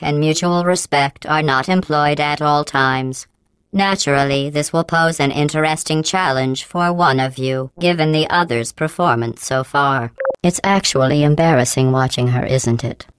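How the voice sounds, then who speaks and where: Game role: a passive-aggressive female computer overseer, low and very clean, robotic processing, monotone announcer menace. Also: moderate pace, smooth, dry recording. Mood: deadpan. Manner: deadpan, mock-polite, monotone announcer delivery. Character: cold, passive-aggressive female AI running a test facility. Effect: synthetic robotic voice processing. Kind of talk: video game voice acting.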